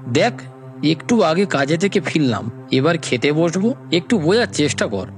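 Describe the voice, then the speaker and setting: A man's voice: a little high, very animated, normal speed, faint music behind. A man playing a tired, brusque young friend on a phone call in a cartoon. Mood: weary. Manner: brusque, exasperated. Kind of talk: cartoon story acting.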